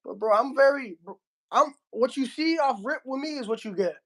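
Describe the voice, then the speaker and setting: Young Miami heavyweight, Spanish first language, high voice, slangy casual interview talk full of restarts. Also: male voice, moderate pace, a bit bright. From Miami, Florida. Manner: slangy, says bro a lot, frequent restarts. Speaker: heavyweight boxer.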